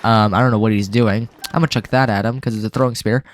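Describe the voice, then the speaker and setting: A man's voice: fairly low pitch, steady tone, fast talker. Casual man narrating a game, still coughing. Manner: rambling and casual, full of fillers. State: getting over a cold, with a cough. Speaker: gaming video host. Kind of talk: let's-play commentary.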